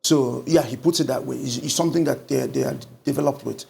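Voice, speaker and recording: A middle-aged Nigerian man, a pastor talking through a book in an animated conversational way, recorded on a lapel mic in an indoor studio. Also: mid-range voice, fairly quick, gravelly. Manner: animated storytelling with lively gestures. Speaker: pastor and guest.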